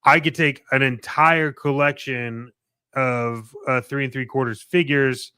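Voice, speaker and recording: A man co-hosting a podcast, talking casually and enthusiastically in a halting, colloquial way. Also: mid-range voice, fairly even intonation, pushed and bright, clean tone, dry recording. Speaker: podcast co-host discussing collectible toys. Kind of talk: casual unscripted chat.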